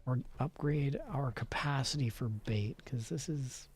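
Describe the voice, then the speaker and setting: A man's voice: mid-range voice, measured pace, a little mellow, husky. A man streaming a game, switching between playful chatter and theatrical story read-aloud.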